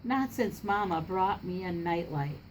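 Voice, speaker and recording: A woman's voice: lowish voice, expressive, a little slow, a little hiss or hum, poor audio. Woman reading as an anxious, pleading young boy. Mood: pleading, defensive.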